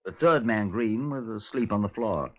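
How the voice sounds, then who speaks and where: British police inspector, expressive and formal. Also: male voice, lowish voice, normal speed. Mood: measured, formal. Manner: formal, dry, authoritative. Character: measured, wry, authoritative male Scotland Yard police inspector. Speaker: actor playing the lead inspector and narrator. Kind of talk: 1950s radio drama narration and dialogue. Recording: dull, lo-fi 1950s radio recording.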